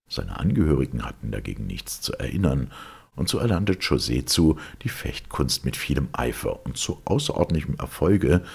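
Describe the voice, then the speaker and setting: A man with an extremely low voice narrates a scripted tale in a formal, measured way. The sound is heavily husky and gravelly, and the pitch moves expressively as he tells the story. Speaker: narrator reading a legend aloud.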